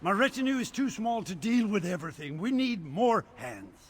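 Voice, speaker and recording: An actor playing an earnest, proud eastern Nord leader who speaks in a reflective way in a video game cutscene. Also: male voice, sits high, very animated, normal speed, slight background noise.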